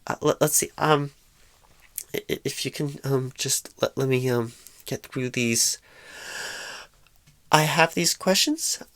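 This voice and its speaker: Casting: woman to play a bashful, anxious new female recruiter for ASMR roleplay, low-pitched, halting and apologetic, recorded close and dry. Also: dry recording. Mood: unsure, hesitant. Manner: stammering, halting, hesitant, apologetic and awkward. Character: shy, nervous, bashful rookie female job recruiter.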